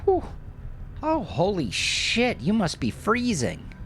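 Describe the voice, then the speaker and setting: Cocky, swaggering gangster acted by a male narrator, raised pitch. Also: wildly swooping pitch, slow pace, a bit bright, a little hiss or hum, heavily acted. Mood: surprised, amused. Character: swaggering, cocky, amused male gangster, foul-mouthed and casually charming.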